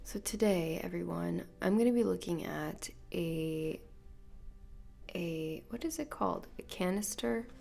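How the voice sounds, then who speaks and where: A woman hosting a solo podcast, rambling softly and half-whispering, her voice nasal and congested from illness. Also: on the low side, animated, unhurried, creaky. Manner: soft, half-whispered, meandering. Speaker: podcast host. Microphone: podcast microphone.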